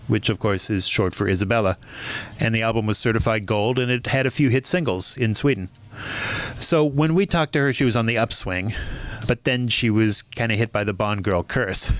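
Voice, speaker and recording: A man's voice: medium pitch, a little fast, a bit bright. A man co-hosting a podcast, sharing background facts in a matter-of-fact way with dry humor. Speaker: podcast co-host. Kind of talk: casual podcast chat, sharing bio facts.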